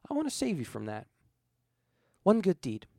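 A man's voice: high-pitched, huge pitch swings, moderate pace, vocal fry, mellow, no echo, clean studio sound, voice pushed up, full character voice. A man voicing a shapeshifting spirit posing as a dead pilot, ominous and pleading in an earnest, insistent way.

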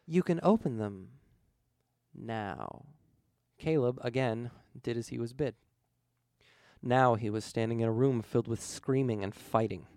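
A man narrates scripted fiction as an audiobook reader. His voice has a creaky, gravelly grain and sits at a middle pitch, with a dry sound free of room echo. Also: darkish tone.

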